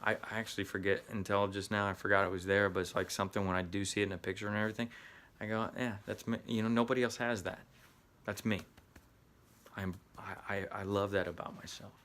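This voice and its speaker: A man's voice: lowish voice, fairly even intonation, quick pace, a bit bright. A middle-aged man with a Southern US accent, from the Midwest, giving an earnest motivational talk to actors as an acting coach, often restarting his words.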